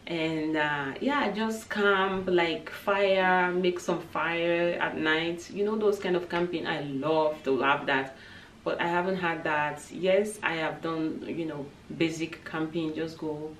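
Female vlogger, mid-thirties, lowish voice, informal chat, echoey room with mic set back. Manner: informal, addresses viewers as guys. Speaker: family lifestyle vlogger. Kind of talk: casual chat to camera, answering tag questions. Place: indoors, small set with wooden backdrop. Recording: roomy, distant mic, background noise.

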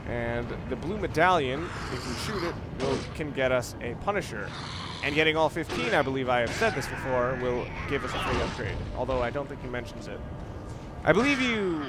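A man's voice: medium pitch, very animated, brisk. A man giving casual, rambling commentary on a video game, often repeating and rephrasing himself. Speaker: Let's Play commentator. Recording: noisy, game sounds behind the voice. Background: video game ambience.

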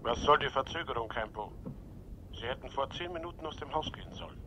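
An actor playing a cold, menacing gang boss in a radio crime drama, giving measured, threatening orders. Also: male voice, expressive, sharp tone, dull audio. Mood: impatient, threatening. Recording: noisy radio drama track with rumble.

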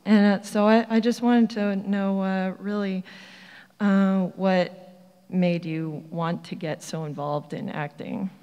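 A woman's voice: medium pitch, fairly even intonation, slow, dark tone, clear, resonant tone, highs cut off. A woman speaking casually as an audience member, admiring and a little hesitant as she works toward a question. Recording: echoey convention hall sound.

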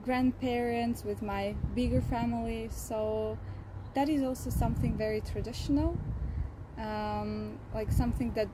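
A young woman, a summer school participant who grew up in Russia, answering interview questions outdoors with thoughtful pauses. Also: a little high, steady tone. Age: young adult. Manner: thoughtful, pausing with ums. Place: outdoors beside a building, under a canopy. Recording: outdoor noise behind the voice.

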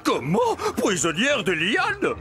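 A man's voice: high-pitched, wildly swooping pitch, slow, full character voice. A man voicing a kind, gullible, eager crocodile in a French children's cartoon, earnest and exclamatory. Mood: worried, urgent. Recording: loud music behind the voice.

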